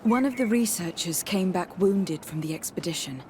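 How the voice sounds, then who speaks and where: A woman playing a composed, courteous young noblewoman diplomat in a video game, speaking formally in measured phrases with a husky voice. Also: on the low side, lively intonation, a little mellow, a little hiss or hum, lightly acted. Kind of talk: video game dialogue acting.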